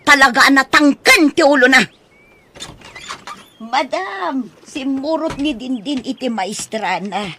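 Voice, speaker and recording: A woman playing a protective, outspoken older household helper in an audio drama, husky and emphatic, with a Filipino accent. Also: sits high, animated, slow pace, noisy background. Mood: earnest, explaining. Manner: exclaiming, emphatic.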